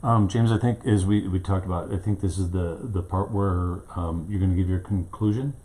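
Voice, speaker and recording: A man's voice: low voice, moderate pace, dark tone, slight background noise. A man who owns a small local TV station, asking interview questions in a casual, rambling way with many fillers, from off camera in his small studio. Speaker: local TV station owner and host.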